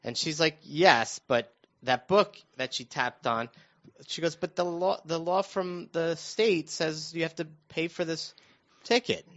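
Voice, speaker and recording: A middle-aged American man telling stories casually on a talk show, halting and restarting his phrases as he goes. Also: fairly high pitch, fairly bright tone. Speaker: radio show panelist recounting his own news. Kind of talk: casual conversational storytelling. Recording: dull, lo-fi muffled sound.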